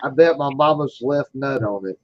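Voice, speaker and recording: A man on a casual livestream, loud and teasing, who swears a lot and keeps calling out to his friends. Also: medium pitch, moderate pace, highs cut off, faint music behind. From Colorado. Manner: loud, teasing, profane, repeats "hey". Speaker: livestream participant. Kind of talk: rowdy casual chat.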